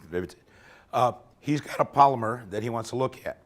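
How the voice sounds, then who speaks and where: A man's voice: mid-range voice, a little fast. A man giving an informal technical lecture at a workshop while losing his voice, often checking in with "okay?". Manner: conversational, often ends points with "okay?". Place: lecture room.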